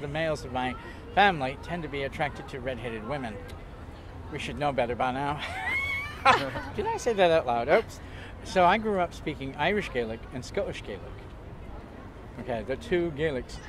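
A man's voice: a little high, very animated, moderate pace. Older man telling tales outdoors, chatty and theatrical. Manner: chatty, theatrical, jokey with bursts of loud laughter. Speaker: interviewee. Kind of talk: casual storytelling. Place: outdoors on a waterfront pier. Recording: outdoor street noise behind.

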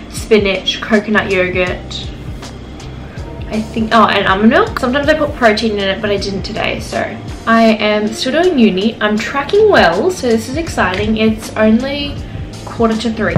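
Upbeat woman in her twenties, higher voice, rambling vlog chat to a close camera, music bed underneath. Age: 20s. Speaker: vlogger. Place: home rooms indoors and windy beach park outdoors. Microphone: handheld camera close to face.

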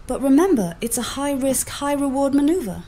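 A woman giving measured, formal advice in full sentences as an AI mission companion. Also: high voice, big pitch swings. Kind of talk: scripted, formal advisory narration. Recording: loud noise behind voice.

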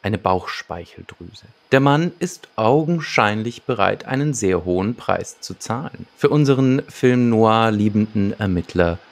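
A man's voice: lowish voice, animated, a little slow, hardly any pauses. A man co-hosting a podcast in a conversational, partly prepared style, speaking close to a desktop microphone at home. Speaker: podcast co-host. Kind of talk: conversational podcast talk with prepared plot summaries. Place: small home room with shelves.

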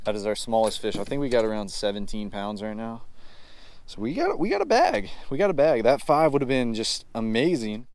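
Excitable young man fishing outdoors. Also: mid-range voice, brisk, vocal fry. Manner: excitable, laughing, friendly trash talk. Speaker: fishing vlog host. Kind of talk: casual chat while fishing. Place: small boat on a lake, outdoors.

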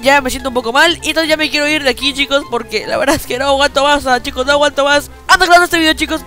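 Teen boy, really high bright voice, rattles through slangy game chat, bit of music behind. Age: teens. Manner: slangy, repeats "chicos" constantly. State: feeling unwell, a bit weak. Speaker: gaming YouTuber. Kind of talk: casual gameplay commentary. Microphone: headset.

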